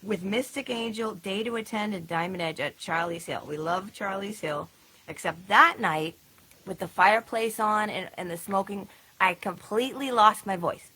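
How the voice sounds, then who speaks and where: Woman, bright, husky, casual band chat, lots of fillers. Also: medium pitch, normal speed. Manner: chatty, recalls gigs with frequent fillers and laughs. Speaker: band member co-hosting a livestream recap.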